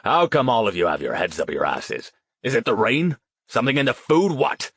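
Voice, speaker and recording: Male narrator as blustering male producer, gruff, bullying, sarcastic, animated, close dry audiobook recording. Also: mid-range voice, moderate pace, dry recording, heavily acted. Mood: angry. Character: irate, bullying, foul-mouthed male TV producer.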